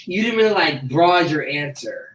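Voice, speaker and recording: A woman's voice: sits low, expressive, normal speed. A woman co-hosting a casual group chat, speaking in a slangy, profane, insistent way. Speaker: podcast co-host. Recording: roomy echo, distant mic, background noise.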